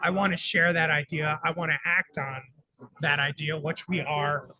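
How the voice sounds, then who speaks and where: A man co-hosting a live radio talk show, chatting in an upbeat, storytelling way. His voice is sharp and forceful, sitting a bit high for a man. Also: level tone, normal speed, poor audio. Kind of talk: casual live radio chat. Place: conference floor broadcast.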